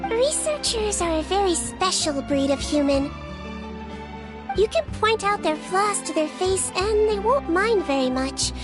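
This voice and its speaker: High girlish, gentle little creature nurse. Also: sing-song, full character voice. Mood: calm, tactful. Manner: measured, matter-of-fact, polite. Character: small gentle female nonhuman nurse, observant and tactful. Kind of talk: video game character dialogue. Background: background music.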